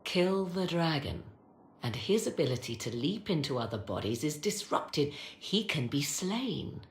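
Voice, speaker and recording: Proud mysterious game sorceress, archaic dramatic talk, swings up and down a lot, echoey. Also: female voice, sits low, big pitch swings, measured pace, a little hiss or hum. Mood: solemn, mysterious. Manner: archaic, formal, theatrical phrasing. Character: proud mysterious sorceress, a mother fearing for her son. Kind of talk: dramatic fantasy game acting. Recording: echoey, with music behind.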